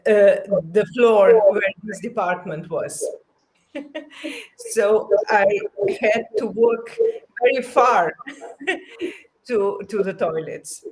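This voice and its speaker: Woman, slow pace, careful halting phrasing, personal recollection. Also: mid-range voice, darkish tone, low-quality recording. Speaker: roundtable panelist.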